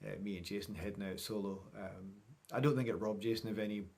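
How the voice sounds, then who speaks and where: A middle-aged man with a fairly low voice recaps things for his vlog. He talks in a chatty, informal way, wandering from one thought to the next as if speaking to friends. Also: fairly even intonation, fast, gravelly, a little mellow. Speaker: vlogger. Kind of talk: casual vlog recap to camera.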